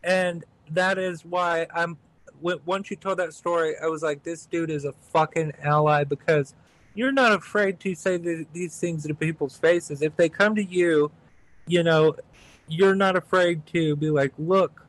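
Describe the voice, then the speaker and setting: A man's voice: fairly high pitch, fairly even intonation, a little slow, no room sound, rough recording. A man hosting a podcast over a video call, with a stutter, swearing casually and agreeing often. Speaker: podcast host.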